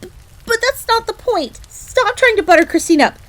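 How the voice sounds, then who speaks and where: A high-voiced fox maid in an audio roleplay. She is a proud, jealous tsundere servant, quick to get indignant and flustered, yet lowly in her own eyes. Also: lively intonation. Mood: defensive. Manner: indignant, stammering when flustered, refers to herself in third person.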